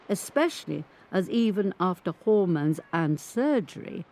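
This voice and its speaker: An actress playing a resolute grandmother in her eighties, recounting her life candidly in a measured, emphatic dramatic monologue. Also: lowish voice, mellow. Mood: firm, candid. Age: 80s. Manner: measured, emphatic, reflective storytelling. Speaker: monologue performer. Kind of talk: dramatic first-person monologue narration. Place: recording studio. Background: music.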